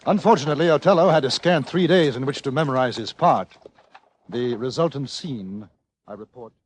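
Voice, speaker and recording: An actor playing an urbane English newspaper correspondent, narrating a radio western in a wry, formal, articulate way. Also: male voice, medium pitch, a little fast, breathy edge, natural voice. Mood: wry, reflective. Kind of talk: scripted radio drama narration. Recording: faintly noisy vintage radio recording.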